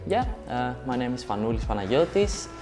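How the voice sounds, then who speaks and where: A man's voice: medium pitch, very animated, brisk, a little mellow, big-room sound, faint music behind. A man in his twenties from Greece, a guest trainer, introducing himself in short, plain sentences. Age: 20s. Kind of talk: self-introduction.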